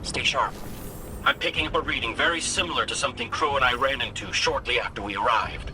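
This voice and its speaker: A man voicing a small, loyal robot companion in game dialogue, precise and gently wry. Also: medium pitch, a little fast, natural voice. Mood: alert. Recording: clear game background noise.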